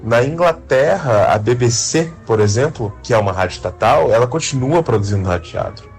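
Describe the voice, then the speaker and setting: A man with a Brazilian accent explaining his field in an interview, haltingly, often adding little tag questions. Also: medium pitch, animated, darkish tone, faint music behind. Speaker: interviewed radio drama creator.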